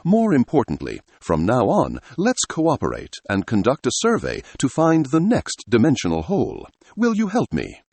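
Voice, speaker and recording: A man voicing a calm, gentlemanly android bird from another dimension, speaking formally and politely in an audiobook story. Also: medium pitch, slightly rough, no echo, pitched above the performer's own voice, heavily acted. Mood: calm, earnest. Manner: formal, courteous, measured.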